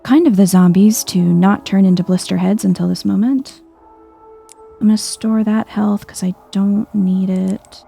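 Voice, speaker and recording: A soft-spoken woman giving a chill, quiet ASMR-style commentary as she plays a video game. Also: medium pitch, fairly even intonation, a little slow, gravelly, breathy edge, faint music behind. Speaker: gaming video host.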